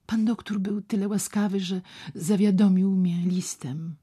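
A woman's voice: mid-range voice, steady tone, measured pace, dark tone, husky, voice pushed up. A woman voicing a timid young woman in love who pleads in a whisper. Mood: pleading.

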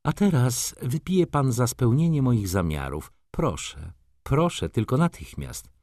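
Man voicing an imperious society lady, pitched up. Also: big pitch swings, measured pace, darkish tone, no echo, studio quality. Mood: playful, commanding. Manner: commanding, theatrical, exclamatory. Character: imperious, flirtatious, indignant society lady, outspoken and bossy.

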